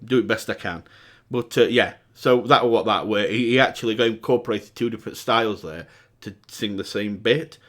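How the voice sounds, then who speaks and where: A man with a cold and a croaky voice, hosting a music reaction video with casual, excitable commentary that jumps to high exclamations. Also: moderate pace. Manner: excitable, breaks into high exclamations and sung demonstrations. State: hoarse from a cold. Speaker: music reaction channel host.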